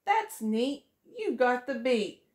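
A woman's voice: a little high, sing-song, slow, a little mellow. A middle-aged woman, a preschool teacher, reading a picture book aloud to children in a sing-song way, voicing each character, recorded close up in a small room.

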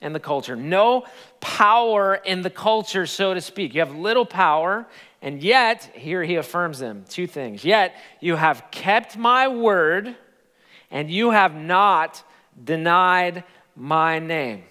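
Animated male pastor preaching in reverb. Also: high-pitched, slow pace. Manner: animated, gesturing preacher with rising emphatic peaks. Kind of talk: sermon. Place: large church stage. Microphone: headset mic. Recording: long hall reverb.

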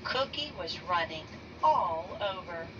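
A woman reading a children's picture book aloud slowly and deliberately, raising her pitch for the characters' lines. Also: expressive. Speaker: read-aloud narrator. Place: bedroom, indoors. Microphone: phone camera held over the book. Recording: dull lo-fi sound from a distance with noise.

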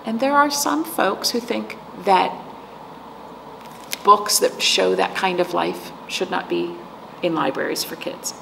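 An American woman in her forties with a creaky voice, a storytime host explaining things to young children in simple, teacherly words and questions. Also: mid-range voice, takes it slowly, sharp tone. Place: indoor room, seated. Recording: echoey room with background noise.

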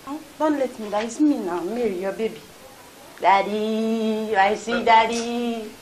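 A woman playing an excitable young daughter who gushes and wheedles with her father. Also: a little high, a little slow, a little hiss or hum, natural voice, low-quality recording. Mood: wheedling. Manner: gushing, wheedling.

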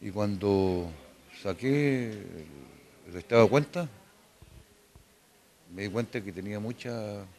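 Older chilean guy in his 60s, lowish voice, halting interview answer. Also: animated, a little mellow. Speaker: fraud victim interviewee. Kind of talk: hesitant recounting in interview. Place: indoor office. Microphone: handheld interview mic.

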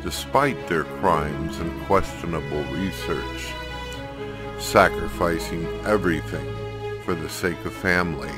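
A male narrator with a very low voice and slow delivery. He reads a scripted story gravely and dramatically, with clear music behind him.

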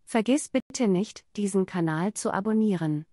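A woman's voice: medium pitch, normal speed, dark tone, no room sound, clean studio sound. A woman reading practice sentences and channel reminders aloud for learners. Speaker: language lesson reader. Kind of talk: slow read-aloud German practice sentences.

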